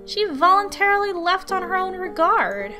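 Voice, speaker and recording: A woman's voice: very high-pitched, sing-song, normal speed, pitched above the performer's own voice. A woman voicing a stubborn, aloof rebellious girl student in a visual novel, dismissive and sulky. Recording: music behind the voice.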